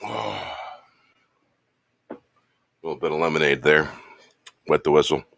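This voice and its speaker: Slow-talking man losing his voice. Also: a bass voice, gravelly, fairly bright tone, a little hiss or hum. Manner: laid-back, rambling. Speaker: show host. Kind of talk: casual chat while drinking.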